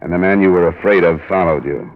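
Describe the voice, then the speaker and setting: An actor playing a world-weary American insurance investigator in a 1950s radio drama, speaking in a clipped, sardonic way. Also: male voice, low-pitched, quick pace, close to an everyday voice. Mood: cold, pressing. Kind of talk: 1950s radio detective acting. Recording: muffled, narrow-band old radio recording.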